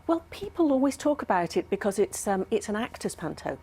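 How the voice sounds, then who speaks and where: A woman's voice: mid-range voice, very animated, brisk, a little mellow, husky. A woman speaking as an expert in a studio interview, explaining things thoughtfully with some hesitation. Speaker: academic expert guest, professor.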